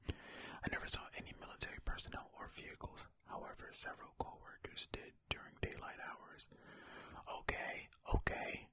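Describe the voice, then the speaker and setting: Casting: ASMR storyteller, fully whispered, very sharp airy tone, informal and unhurried, close to the mic. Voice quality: breathy, unvoiced whisper. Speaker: ASMR host reading and commenting on stories. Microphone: close ear-to-ear ASMR microphone.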